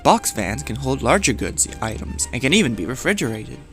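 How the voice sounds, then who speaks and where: A man narrates a scripted horror tale in a medium-pitched voice. He delivers it as a storyteller, measured and with dramatic emphasis, while music plays clearly behind him. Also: big pitch swings, fairly bright tone.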